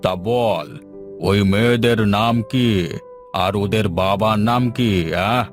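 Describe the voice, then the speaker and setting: A man voicing a fond, self-assured old village father in a cartoon fairy tale, drawn-out and coaxing. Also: fairly low pitch, faint music behind. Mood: proud, questioning.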